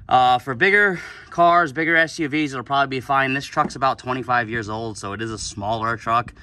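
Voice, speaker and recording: A man hosting a casual how-to video, narrating a trailer upgrade outdoors in a relaxed, plain-spoken way while holding the camera. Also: medium pitch, fairly quick. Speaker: DIY channel host. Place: outdoors on a concrete driveway. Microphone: camera mic, one hand on camera.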